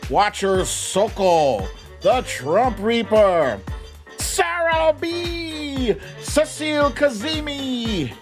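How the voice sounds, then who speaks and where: Playful, theatrical man hosting, swooping pitch. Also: sing-song, over music. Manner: playful, theatrical, drawn-out exclamations. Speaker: host of a live streamed show. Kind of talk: live chat-show talk, greeting viewers by name.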